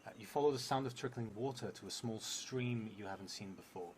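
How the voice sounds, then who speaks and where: Low-voiced non-native English man, noisy recording. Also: darkish tone. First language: not English; English is a third language. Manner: halting, muttering to himself while writing, clearer when reading aloud. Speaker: solo tabletop roleplay streamer. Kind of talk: solo game play, reading prompts and thinking aloud. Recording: clearly noisy stream audio.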